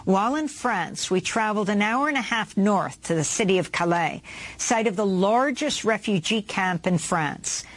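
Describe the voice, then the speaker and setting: A woman news anchor reading scripted narration in a measured, formal way. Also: mid-range voice, expressive, no echo.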